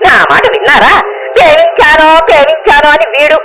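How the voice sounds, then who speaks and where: A woman acts out a middle-aged mother-in-law with a high voice and a sharp temper. She complains and nags with sarcastic rhetorical questions, in radio drama style. Music is loud behind her. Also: a little fast, close to an everyday voice, rough recording. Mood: indignant. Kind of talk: Telugu radio drama acting.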